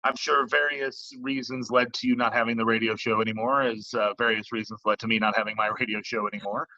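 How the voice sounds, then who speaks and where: A man's voice: on the low side, fairly even intonation, a little fast, sharp tone, no echo. A man hosting a casual interview in a conversational style, heard on a somewhat lo-fi recording. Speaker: interviewer, host. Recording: dull lo-fi, dry close sound.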